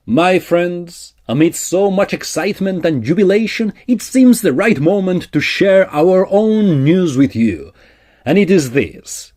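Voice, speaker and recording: An actor playing a courtly, affectionate Victorian opera singer, formal and measured, in a period audio drama. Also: male voice, high-pitched, sing-song, a little mellow. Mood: proud, affectionate.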